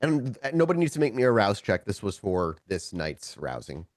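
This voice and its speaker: Lively male tabletop game storyteller. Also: on the low side, normal speed, no echo, clean studio sound. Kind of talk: casual improvised game narration.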